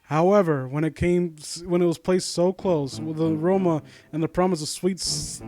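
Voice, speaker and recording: A man with a fairly high voice does casual commentary and story reading. He is sardonic and informal, and trips over his words as he goes. Music plays faintly behind him. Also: fairly even intonation, normal speed, warm tone. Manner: sardonic, mock-supportive asides, informal, stumbling over read lines. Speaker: host of a critique series reading a story aloud and commenting.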